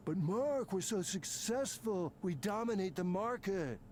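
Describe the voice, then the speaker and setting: A man's voice: high voice, expressive, unhurried, a little mellow, a little hiss or hum. An actor playing an angry, self-important tech programmer in his fifties for a video game, ranting with sarcasm and swearing. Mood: anxious, sardonic. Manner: combative, sarcastic, profane ranting. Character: angry, embittered, self-important fifty-something male tech company programmer. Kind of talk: naturalistic video game voice acting.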